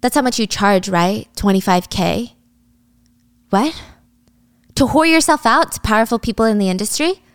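A woman's voice: a little high, moderate pace, long pauses, clear, resonant tone, dry recording, natural voice. A woman voicing a defiant young businesswoman in a story, confrontational and cutting. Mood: accusing.

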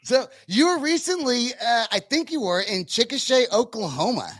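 A man's voice: very high-pitched, animated, normal speed, a bit bright, breathy edge, dry recording, clean studio sound. A man from California hosting a casual rock podcast, talking in a slangy, profane, informal way. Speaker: podcast host.

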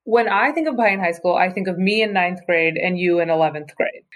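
A young woman being interviewed by her brother. She talks in a halting way, full of "like" and "um" as she hunts for words. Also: on the low side. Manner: halting, filler-heavy, searching for words. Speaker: host's sister, interviewee. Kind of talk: casual interview.

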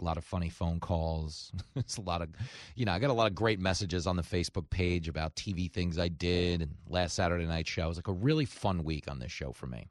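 Animated talk radio man, dry studio sound. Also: sits low, no echo. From New York. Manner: animated, mocking, conversational. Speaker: radio show host. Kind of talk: comedic political talk radio monologue.

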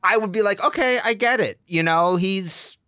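A man with a nasal, fairly high and bright voice, guesting as a comedian in casual talk. He jokes at his own expense, recorded close and dry. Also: moderate pace, no echo. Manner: self-deprecating joker. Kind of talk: casual chat.

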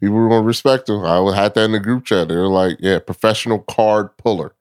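A man with a low voice talks as a podcast guest in relaxed, slangy sports chat. He laughs often between phrases. The sound is dry and close to the mic. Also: fast talker, clear, resonant tone, no echo. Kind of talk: casual sports talk.

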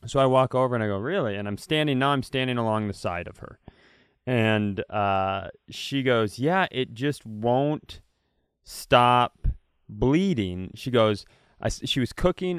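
Smooth-voiced man riffing on a podcast. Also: mid-range voice, expressive, measured pace, no echo, clean studio sound. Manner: riffing, storytelling, playful bits. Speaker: podcast co-host. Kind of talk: casual comedic chat.

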